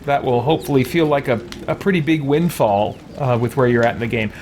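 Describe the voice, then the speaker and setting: A man's voice: medium pitch, fairly quick, darkish tone, slight background noise. A man giving casual gameplay commentary as a streamer, thinking aloud in a rambling, conversational way and chatting with viewers. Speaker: streamer, let's play host. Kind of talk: casual gameplay commentary, reading and answering chat.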